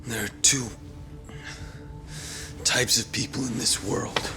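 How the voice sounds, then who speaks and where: An actor playing a desperate, self-deluded young crime heir turned ruthless politician in a video game cutscene, with a strained, grandiose, halting delivery. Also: male voice, medium pitch, steady tone, pushed and bright, faint music behind. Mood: cold, contemptuous.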